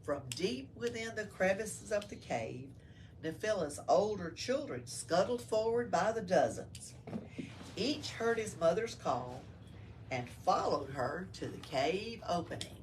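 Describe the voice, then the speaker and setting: A woman's voice: on the low side, big pitch swings, a little slow, recorded from a distance, low-quality recording. A woman reading a story aloud to children as a library storyteller, explaining it in simple words. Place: library room. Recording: faint background noise.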